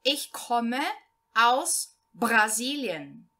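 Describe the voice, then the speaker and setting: Female teacher reading a young woman character; bright, slow, lively. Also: fairly high pitch, exaggerated voice. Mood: cheerful. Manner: slow, clearly repeated reading for learners. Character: young woman introducing herself in a beginner text. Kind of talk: read-aloud language lesson.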